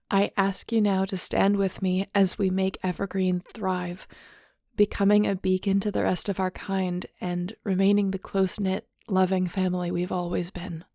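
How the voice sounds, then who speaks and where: Give this to a woman acting a young werewolf heroine in an intimate audio roleplay. She is affectionate and teasing but full of nerves, with a fairly flat, even pitch. The sound is dry and close. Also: no room sound, lightly acted. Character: nervous young female werewolf shifter becoming clan Alpha, affectionate, teasing.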